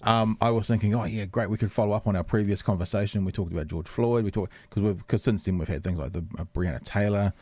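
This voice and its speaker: A man with a deep, low voice hosts a radio interview in a loose, rambling way. He pokes fun at himself and restarts his sentences often. The sound is close and dry. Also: fast, few pauses, warm tone, dry recording. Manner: rambling, self-deprecating, many restarts. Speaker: radio host. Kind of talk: casual interview chat. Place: radio studio.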